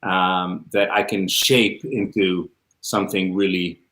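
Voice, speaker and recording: A man with an Israeli accent, Hebrew his first language, talks in a fairly low voice, pausing often with ums and restarting his sentences. He is on a video call, and the room gives his voice a noticeable echo. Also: flat delivery, a bit bright. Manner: hesitant, many ums and restarts. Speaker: music teacher and guest. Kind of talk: casual interview chat. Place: room, livestream. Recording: roomy echo.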